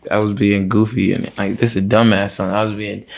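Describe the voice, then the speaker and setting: Male American rapper, lower register, casual interview guest, profane slang, circles back on phrases. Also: level tone, fairly quick, vocal fry.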